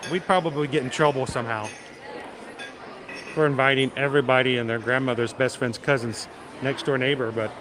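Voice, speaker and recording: A man hosting a casual livestream, chatting and joking with viewers as he walks an outdoor theme park; he stumbles and repeats words now and then. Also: mid-range voice. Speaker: livestream host. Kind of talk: casual live-stream chat with viewers.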